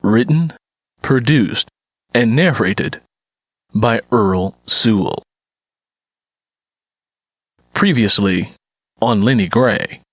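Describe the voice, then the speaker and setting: A man's voice: on the low side, takes it slowly, long pauses, fairly bright tone, no echo. A man announcing an audio drama in a scripted introduction. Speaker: announcer and narrator.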